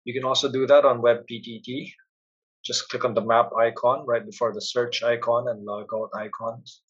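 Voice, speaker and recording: Husky man narrating a software tutorial. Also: mid-range voice, flat delivery, normal speed, dull audio. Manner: plain, instructional. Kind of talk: step-by-step screencast narration.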